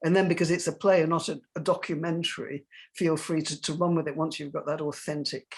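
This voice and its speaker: A woman's voice: deep voice, flat delivery, a little fast, breathy edge. A woman playwright speaking on a panel, thoughtful and emphatic, sometimes searching for her words. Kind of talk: reflective panel discussion.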